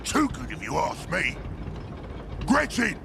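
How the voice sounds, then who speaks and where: An actor playing a cunning, brutish ork warboss in a video game, with a rough Cockney British accent and a blustering, sly manner. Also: male voice, a little high, wildly swooping pitch, slow, exaggerated voice. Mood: boastful, bossy. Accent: British, Cockney-style. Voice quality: gravelly, rough. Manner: blustering, sly, rough working-class speech. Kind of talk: video game character acting. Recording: long echoing reverb, clear background noise.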